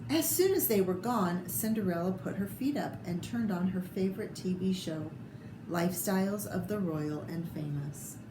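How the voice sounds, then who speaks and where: A woman reading a children's picture book aloud with expressive, theatrical delivery and short asides about the pictures. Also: on the low side, moderate pace. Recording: echoey room with clear background noise.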